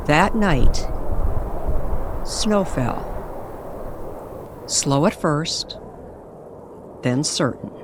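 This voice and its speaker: A woman narrating an eerie story in old-time radio style, with dramatic, weighted pauses. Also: lowish voice, background noise.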